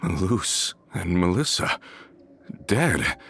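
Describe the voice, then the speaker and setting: A man's voice: low voice, very animated, slow, vocal fry, fairly bright tone, exaggerated voice. A male actor playing an earnest, loyal gang member in a video game, speaking expressively. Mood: stunned grief. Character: earnest, loyal man of a gang, newly returned. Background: game music.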